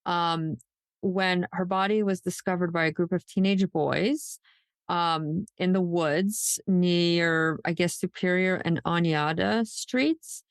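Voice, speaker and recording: A woman's voice: lowish voice, takes it slowly, smooth, dry recording. A woman podcast co-host telling a true crime story conversationally, in an informal, somewhat hesitant way.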